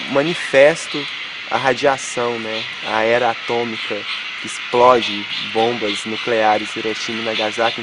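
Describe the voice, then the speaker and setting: Um homem de Goiânia, artista de teatro, falando de forma pensativa numa entrevista e perguntando "né?" a toda hora. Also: male voice, medium pitch, poor audio. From Goiânia, Brazil. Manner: thoughtful, checks in with "né?" often. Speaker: theatre artist. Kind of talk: reflective interview.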